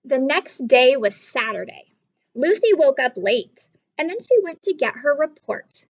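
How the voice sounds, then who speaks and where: A woman with a high voice reads aloud to young children as their teacher. She takes her time, expressive and instructive, talking straight to the listeners. The sound is slightly dull and lo-fi. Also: measured pace. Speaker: elementary school teacher. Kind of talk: children's story read-aloud with teaching questions.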